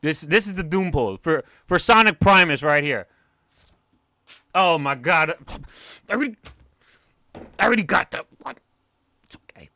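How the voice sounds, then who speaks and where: A young man with a New York accent, chatting excitably on a livestream while opening comic packs, with a cartoonish way of talking. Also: on the high side, normal speed, long pauses, no echo. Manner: excitable, animated, talks like a cartoon character. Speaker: comic collector streamer. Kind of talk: casual livestream chat.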